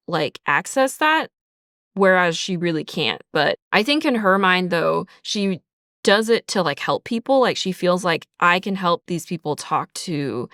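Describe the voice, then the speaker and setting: A woman's voice: fairly low pitch, fairly bright tone, clean tone, dry recording, studio quality. A woman speaking casually in an interview as a writer, talkative and thinking aloud, slipping into imagined lines as she explains. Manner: talkative, thinking aloud, acts out characters' thoughts in asides. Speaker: writer and creator of an audio drama, also voice actor. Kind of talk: casual behind-the-scenes interview.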